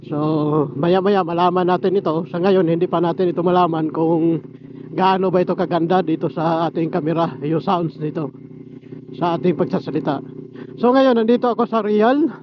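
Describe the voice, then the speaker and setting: A man talks in a fairly high voice over clearly audible noise. He sounds like a casual gear reviewer on a vlog. His delivery is halting and explanatory, and he often repeats key words. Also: lo-fi sound. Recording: clearly noisy amateur recording.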